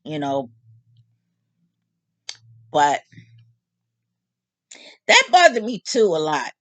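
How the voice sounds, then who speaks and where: A woman in her late fifties talking openly to her audience in a casual, animated, joking monologue. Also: low voice, very slow, no room sound. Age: 50s. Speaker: vlog host.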